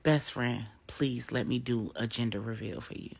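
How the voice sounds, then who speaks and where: A woman's voice: extremely low pitch, normal speed, gravelly, darkish tone, slightly rough, full character voice. Woman acting an affectionate, playful best friend. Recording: dull lo-fi microphone.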